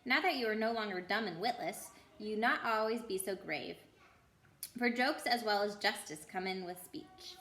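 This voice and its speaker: A woman reads as a strong, kindly lion king from a storybook, solemn and measured in delivery. The voice is bright and carries a clear room echo. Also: mid-range voice, natural voice. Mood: gently amused. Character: majestic, strong, happy male lion, gravely kind ruler.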